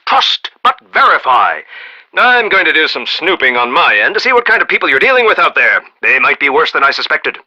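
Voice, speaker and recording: An actor playing a proud, eccentric self-made American inventor tycoon in a video game, talking in a folksy, rambling, emphatic way. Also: male voice, a little high, pushed and bright, muffled recording, heavily acted. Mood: wary, shrewd. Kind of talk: animated video game voice acting.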